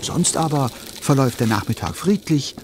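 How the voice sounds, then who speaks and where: A man narrating a children's audio drama in a lively scripted storytelling style. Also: mid-range voice, moderate pace, noisy background.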